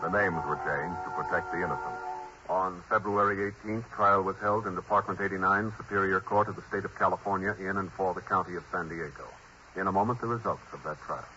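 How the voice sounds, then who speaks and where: A male radio announcer giving a formal, measured closing announcement. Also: sits low. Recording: muffled old radio broadcast with music.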